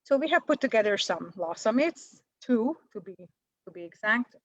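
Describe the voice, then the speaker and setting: A woman's voice: on the high side, lively intonation, normal speed, no room sound, highs cut off. A woman in her forties with a Hungarian accent, talking as a guest in an interview, chatty and self-deprecating, with lots of fillers and restarts. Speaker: guest, paralegal. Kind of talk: interview over video call.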